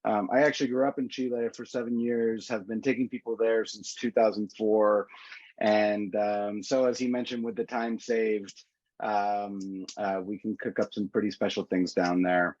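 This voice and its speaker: A man's voice: lowish voice, flat delivery, normal speed, darkish tone, no echo. A man presenting rates on a travel webinar over a video call, talking casually with many fillers and restarts. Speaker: tour organizer. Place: indoors in a city. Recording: dull lo-fi video call audio.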